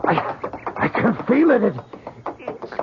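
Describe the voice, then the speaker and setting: On a muffled old radio recording, an ailing man posing as a doctor. His high voice swings widely as he speaks in halting, breathless repeats, urgent and strained. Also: sing-song, heavy background noise, exaggerated voice. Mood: choking, desperate. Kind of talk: old-time radio drama acting.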